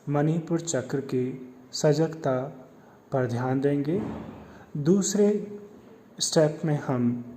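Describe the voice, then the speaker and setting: Slow, mellow man guiding meditation in reverb. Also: a little high, a little hiss or hum. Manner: measured, instructional, with long pauses. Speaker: life coach and meditation guide. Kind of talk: slow guided meditation instruction. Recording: long hall-like reverb on the voice.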